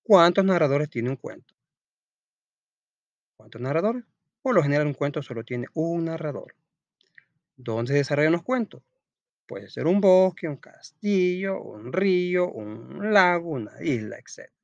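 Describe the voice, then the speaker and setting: A man's voice: fairly high pitch, animated, a little slow, vocal fry, long pauses, no echo, muffled recording. A man with a Salvadoran accent teaching an informal school lesson, reading aloud and explaining in a chatty, colloquial way, often asking questions and answering them himself.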